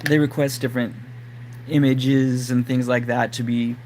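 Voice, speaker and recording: A man whose voice is going hoarse, talking casually and rambling to his live-stream audience with plenty of fillers. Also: mid-range voice, little pitch movement, moderate pace, noisy background. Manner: rambling, lots of fillers like "like" and "you know". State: losing his voice, throat-clearing. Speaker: livestream host.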